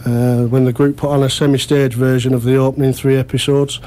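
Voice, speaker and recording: A man's voice: medium pitch, level tone, brisk, warm tone. A man chatting casually as a radio interview guest, modest and self-deprecating. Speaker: amateur actor interview guest.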